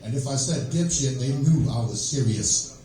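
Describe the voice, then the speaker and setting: Echoey amateur comedian, halting man. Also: mid-range voice, a crowd in the background. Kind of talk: stand-up comedy set. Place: small live comedy venue, stage. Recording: echoey room, distant microphone.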